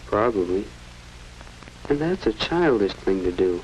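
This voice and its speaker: An actor playing an earnest, self-questioning American teenage schoolboy in a polite, musing 1940s educational film style. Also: male voice, on the low side, big pitch swings, measured pace, a little mellow. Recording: muffled, noisy 1940s film soundtrack.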